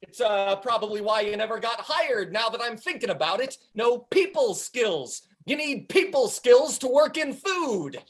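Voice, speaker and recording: A woman's voice: fairly high pitch, animated, normal speed, few pauses, lightly acted. A woman playing a foul-mouthed, defiant male sidekick in a comedy table read, with pleading, theatrical delivery. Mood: needling. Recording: video call.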